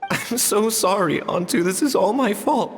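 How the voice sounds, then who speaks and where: A man's voice: high-pitched, lively intonation, moderate pace, soft background music. An actor playing an earnest, grief-stricken man devoted to his creature companion in a dramatic video game. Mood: desperate, anguished. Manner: earnest, emotional, reproachful. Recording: long cavernous reverb, faint noise.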